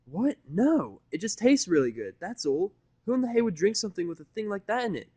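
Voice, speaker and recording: Man voicing a cheeky female cartoonish pony, raised pitch, boastful, slangy, emphatic, storybook reading. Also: very animated, a little fast, darkish tone, husky, dry recording, exaggerated voice. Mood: indignant, baffled. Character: brash, cheeky, excitable young female pony.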